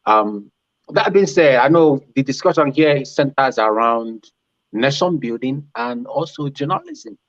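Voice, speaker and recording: A man who is a priest, speaking on a panel in a halting way with many fillers. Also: medium pitch, lively intonation, dry recording, muffled recording. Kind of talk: panel discussion remarks.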